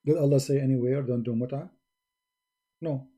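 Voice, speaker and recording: A man with a husky voice hosting an argumentative religious debate, insistently challenging his opponent and repeating his questions. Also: mid-range voice, steady tone, normal speed, warm tone. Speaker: host of a religious debate call-in.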